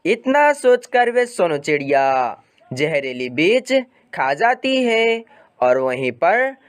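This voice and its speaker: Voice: mid-range voice, big pitch swings, takes it slowly, clean tone. A narrator reading scripted children's stories about birds. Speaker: story narrator.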